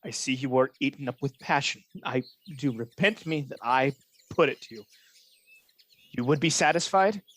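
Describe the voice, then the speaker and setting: A man playing a scheming, falsely honest soldier in a Shakespearean radio drama, speaking in a measured, insinuating manner. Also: mid-range voice, fairly bright tone, natural voice. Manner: insinuating, measured, feigned reluctance.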